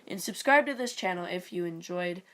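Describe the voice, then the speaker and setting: A woman with a raspy voice and a stuffy nose, giving rambling, casual sports commentary for her video channel. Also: fairly low pitch, lively intonation, normal speed, fairly bright tone. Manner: rambling, full of "like" and "you know". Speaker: video creator.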